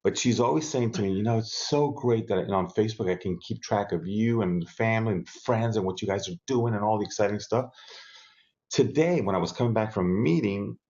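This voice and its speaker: A man's voice: lowish voice, fairly quick, darkish tone, highs cut off. A middle-aged man from South America, chatting as a guest on a video-call interview, enthusiastic and rambling with restarts, from a home room with bookshelves. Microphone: earbud headset on a video call.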